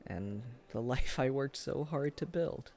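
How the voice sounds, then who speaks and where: A man playing a wistful, self-deprecating former high school sweetheart in an intimate, halting boyfriend ASMR roleplay. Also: lively intonation, vocal fry, a little mellow. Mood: regretful, tender. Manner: hesitant, halting, chuckles through confessions.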